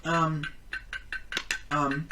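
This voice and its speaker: A woman's voice: sits low, level tone, extremely slow. A young adult woman co-hosting a podcast, slangy and sweary, groping for clues in a casual guessing game. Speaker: podcast co-host. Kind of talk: casual chat while playing a word guessing game. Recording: noisy room with clattering and clicks.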